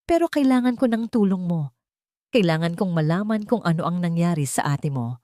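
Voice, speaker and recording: A woman's voice: fairly low pitch, animated, moderate pace, warm tone, dry recording, studio quality, close to an everyday voice. A woman narrator voicing an anxious, determined young bride in an audio story, earnest and guarded. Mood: shaken, earnest.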